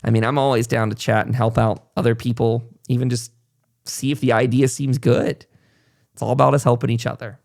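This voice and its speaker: A man's voice: medium pitch, level tone, fairly quick, gravelly, darkish tone. A man hosting a podcast in a casual, conversational way, informal and encouraging as he rambles along. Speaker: podcast host.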